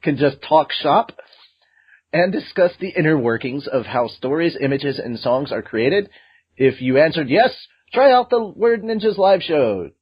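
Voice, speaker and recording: Husky-voiced man hosting a podcast. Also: fairly high pitch, a little slow. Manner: joking, excitable, reads ads loosely. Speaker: podcast host. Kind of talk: casual podcast chat. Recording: lo-fi dull podcast audio.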